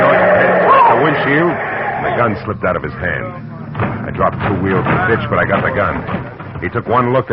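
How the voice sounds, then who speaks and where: A man playing a hard-boiled private detective who narrates in a terse, wry way in an old radio drama. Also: low voice. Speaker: narrator and lead. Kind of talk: 1940s radio acting. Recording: muffled old radio recording with loud hiss.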